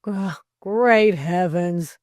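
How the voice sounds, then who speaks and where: A woman voicing an imperious, teasing gentleman, master of the house, in a declamatory audiobook style. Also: medium pitch, lively intonation, unhurried, no room sound, studio quality, natural voice. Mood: indignant. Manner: pompous, declamatory, bantering.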